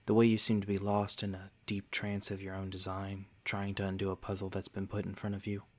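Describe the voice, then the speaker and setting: A man playing a courteous, protective vampire lord in a soft-spoken ASMR roleplay, with formal, genteel wording and a gentle, reassuring manner. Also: low voice, monotone, brisk. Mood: gentle, attentive. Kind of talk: ASMR roleplay, speaking softly to the listener.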